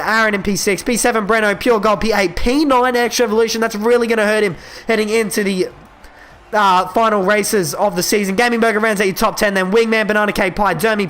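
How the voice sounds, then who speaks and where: A young man calling a sim racing event live, excitable and quick, his voice giving out and cracking. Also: high voice. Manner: excitable, quick calls that rise at overtakes. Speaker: esports race commentator. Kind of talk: live play-by-play race commentary.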